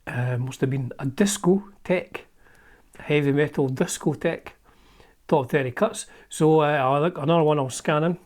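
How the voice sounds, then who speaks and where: A man's voice: on the high side, moderate pace, a little mellow. A middle-aged man with a Scottish accent, hosting and chatting casually as he flips through an old magazine, rambling with frequent ums and restarts. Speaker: host.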